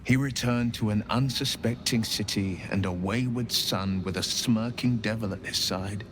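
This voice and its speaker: An actor playing an earnest, solemn young nobleman adventurer bound by a devil's pact, telling things in a measured way for a video game. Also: lowish voice, noisy background, close to an everyday voice. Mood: somber. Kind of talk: video game dialogue acting.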